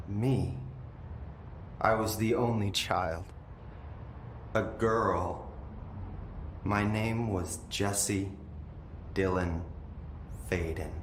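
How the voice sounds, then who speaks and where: An actor playing an eerie, calm young man held captive, speaking slowly and unsettlingly. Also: low-pitched, roomy sound, slight background noise. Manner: slow, drawn-out, unsettling.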